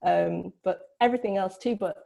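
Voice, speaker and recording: A woman's voice: mid-range voice, moderate pace, vocal fry, mellow, highs cut off. A woman filmmaker talking casually and reflectively as a panel guest.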